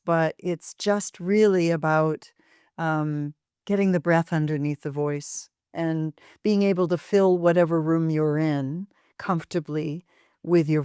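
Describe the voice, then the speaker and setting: An American woman, a voice professor being interviewed, with a fairly deep voice, speaking thoughtfully with pauses and fillers. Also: a little mellow, smooth, no echo, clean studio sound. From United States. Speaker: voice and speech professor, guest. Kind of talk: interview answers.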